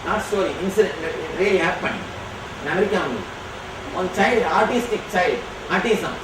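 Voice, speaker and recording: A man whose first language is Tamil, answering audience questions as a spiritual teacher, speaking slowly and emphatically. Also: high voice. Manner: slow, emphatic and declarative. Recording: echoey hall from far off, noisy.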